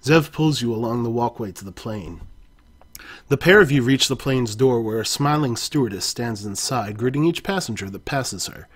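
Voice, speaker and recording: Man, husky voice, mid-pitched, second-person story reading, occasional self-corrections. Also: normal speed. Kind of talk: reading an interactive adventure story aloud.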